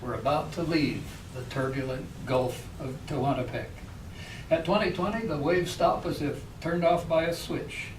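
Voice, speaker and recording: A man's voice: on the high side, normal speed. A man who is a poet, reading his own work aloud at a live poetry reading. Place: public library reading room. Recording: echoey room, distant mic, faint noise.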